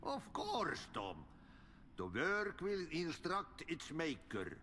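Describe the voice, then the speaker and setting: An actor playing an older, cryptic, cheerful Finnish-accented janitor who speaks in a folksy, unhurried sing-song in a video game. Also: male voice, a little high, wildly swooping pitch, pushed and bright, long reverb tail, a little hiss or hum, heavily acted. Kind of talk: video game character acting.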